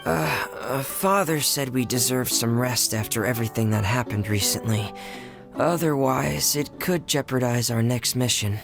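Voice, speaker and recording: A man's voice: medium pitch, moderate pace, vocal fry, music in the background, lightly acted. An actor playing a shy, reserved teenage boy in a video game, hesitant and earnest.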